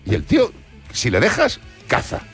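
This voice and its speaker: A man co-hosting a radio talk show, telling stories in an animated, joking way. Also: a little high, moderate pace, breathy edge, faint music behind. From Spain. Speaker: radio co-host. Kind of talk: casual chat.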